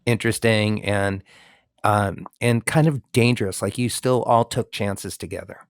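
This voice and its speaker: A man's voice: lowish voice, fairly even intonation, measured pace, no echo, studio quality. A man hosting an interview, asking long, friendly, rambling questions with many fillers. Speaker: interview host, musician. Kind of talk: conversational interview.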